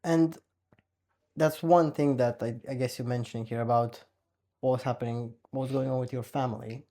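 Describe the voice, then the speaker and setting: Mellow Romanian-accented man hosting an interview. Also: mid-range voice, fairly even intonation, normal speed. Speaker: podcast host. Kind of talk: conversational interviewing.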